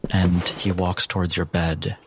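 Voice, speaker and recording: A man working as game master, narrating a dramatic tabletop horror game deliberately, with pauses between phrases. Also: sits low, level tone, background noise. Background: music.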